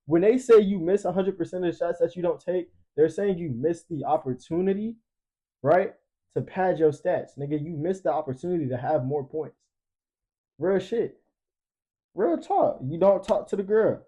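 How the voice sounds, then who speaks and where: A man's voice: fairly high pitch, moderate pace, mellow, breathy edge. A twenty-year-old man talking casually and emphatically, preaching motivation in profane slang with repeated catchphrases. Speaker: podcast panelist.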